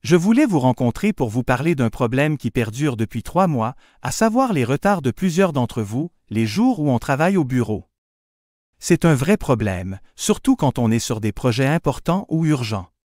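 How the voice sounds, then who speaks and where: A man's voice: medium pitch, sing-song, moderate pace, a little mellow, no room sound, clean studio sound, close to an everyday voice. A man playing a formal manager admonishing his staff in scripted exam audio. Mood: firm, admonishing. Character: male manager sternly addressing his staff.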